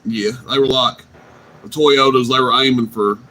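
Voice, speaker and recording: A man hosting a CB radio night, chatting casually and telling drawn-out stories. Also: mid-range voice, steady tone, very noisy. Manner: drawling, easygoing storyteller. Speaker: CB radio host.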